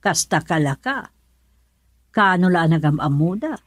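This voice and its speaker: An actress playing a caring mother in a radio drama, gently questioning her son. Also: lowish voice, animated, a little slow, dry recording. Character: caring middle-aged mother, curious.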